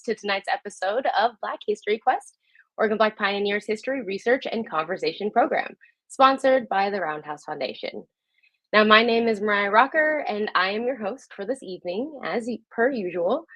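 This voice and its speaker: A woman's voice: mid-range voice, a bit bright, no echo. A woman hosting a live online program, speaking to viewers in a conversational presenter style. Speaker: host.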